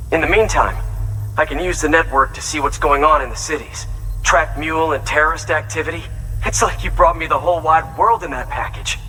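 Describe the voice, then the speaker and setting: An actor playing an earnest, grateful shelter-born inventor in a video game, speaking in an emphatic, conversational way. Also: male voice, a little high, sharp tone, far from the mic, low-quality recording. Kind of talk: video game cutscene acting.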